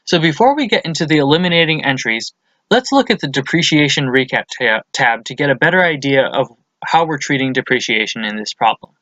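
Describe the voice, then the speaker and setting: A man's voice: medium pitch, expressive, fairly quick, sharp tone, dry recording, lo-fi sound. A man presents a lesson as scripted instructional narration, explaining things methodically step by step.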